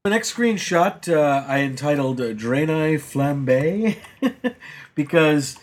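A man's voice: a little high, slow pace. A man co-hosting a casual gaming podcast, rambling through his week with many fillers and restarts and teasing the others. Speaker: podcast co-host.

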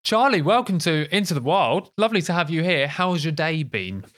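A tired man hosting a podcast in a chatty, informal, self-deprecating way, his voice slightly croaky. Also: fairly high pitch, animated, a little fast, fairly bright tone. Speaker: podcast host.

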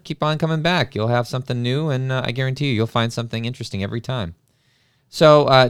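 A man hosting a podcast in a casual, folksy, halting way. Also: mid-range voice, clear, resonant tone, no room sound, clean studio sound. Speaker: podcast host.

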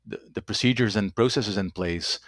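Low-voiced man, halting speech. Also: fairly bright tone, no echo. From Belgium. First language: Dutch. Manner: halting, repeats words, tags sentences with "right?". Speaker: company executive guest. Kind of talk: conversational interview answers.

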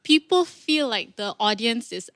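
A woman's voice: on the high side, animated, unhurried, fairly bright tone, dry recording, studio quality. A woman speaking as a podcast guest and public speaking coach, explaining things in an encouraging, casual way.

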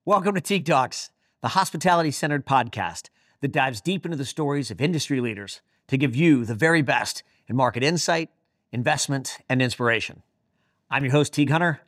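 A man's voice: a little high, a bit bright. A man hosting a podcast interview, upbeat and playful, and he often jumps in with remarks. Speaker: podcast host. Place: hotel interior.

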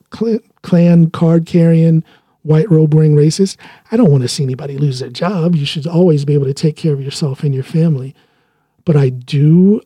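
A man's voice: fairly high pitch, mellow, breathy edge, no room sound. A man hosting a solo podcast, talking earnestly in a loose monologue and often restarting his sentences. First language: English. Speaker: podcast host.

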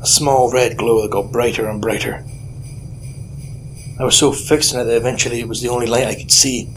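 A man playing a gruff, weary old detective with a deadpan, sardonic manner, narrating a noir audio drama. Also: medium pitch, fairly quick, slight background noise, lightly acted, poor audio. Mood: brooding.

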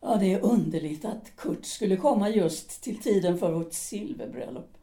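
A woman's voice: mid-range voice, dark tone, natural voice. A woman playing an embittered former actress, a cutting and reproachful middle-aged wife in an audio drama. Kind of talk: Swedish audio drama acting.

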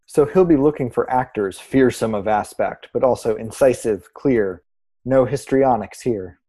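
Mellow, deadpan arts radio co-host. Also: male voice, mid-range voice, unhurried, husky. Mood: earnest, announcing. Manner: deadpan, formal. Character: earnest, measured small-town arts radio co-host. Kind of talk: scripted mock-serious radio presenting.

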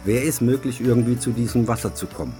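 Ein Mann, Gastgeber an Bord, erzählt in einem eingespielten Ausschnitt eine Anekdote. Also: male voice, medium pitch, fast talker, warm tone, soft background music. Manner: storytelling. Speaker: onboard host. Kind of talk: anecdote clip.

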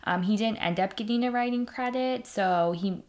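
A woman's voice: mid-range voice, normal speed, a little mellow. A woman co-hosting a movie podcast, talking casually with lots of ums and light laughs. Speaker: podcast co-host.

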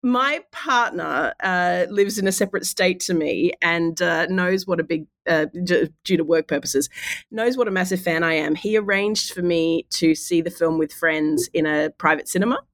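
A woman with a head cold that makes her husky, telling stories animatedly and laughing often in a podcast chat. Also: fairly low pitch, normal speed, dry recording. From Australia. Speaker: podcast guest.

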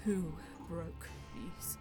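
An actress playing a grave elf artisan who narrates an audio drama in a hushed, formal, dramatic manner. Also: female voice, fairly low pitch, steady tone, very slow, music in the background. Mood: hushed dread. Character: grave female elf artisan narrating her perilous journey. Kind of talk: scripted first-person audio drama narration.

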